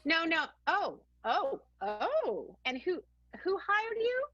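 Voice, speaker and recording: Woman playing high-voiced scheming older diva. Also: sing-song, pushed and bright, dry recording, highs cut off, faint music behind, full character voice. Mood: suspicious, nosy. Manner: deliberate, knowing, theatrical. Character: scheming, haughty older female tightrope performer. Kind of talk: improvised radio play acting.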